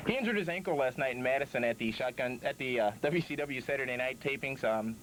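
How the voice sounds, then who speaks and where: A man's voice: mid-range voice, fast talker, fairly bright tone. A man hosting a public-access wrestling call-in show in a matter-of-fact way, on an old TV tape. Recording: faintly noisy 1990s public-access TV tape.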